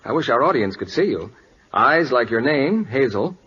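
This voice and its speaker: A man's voice: medium pitch, sing-song, moderate pace, a bit bright. A man announcing on 1940s radio with formal, scripted sales patter. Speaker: commercial announcer. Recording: muffled old-time radio recording.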